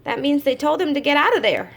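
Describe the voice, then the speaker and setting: Female storybook reader, higher-pitched voice, expressive teacher delivery for kindergarten listeners, slips in conversational asides. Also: fast, creaky. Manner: expressive, acts out exclamations, adds chatty asides to young listeners. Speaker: kindergarten teacher reading to class. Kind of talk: children's storybook read-aloud.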